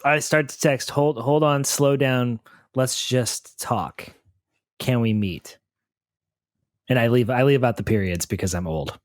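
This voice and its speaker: Male tabletop player, medium pitch, dry sound, casual improvising with halting, repetitive phrasing. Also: no room sound. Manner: halting, repeats words while thinking aloud. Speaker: player in a tabletop role-playing game. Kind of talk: casual in-character improvised chat.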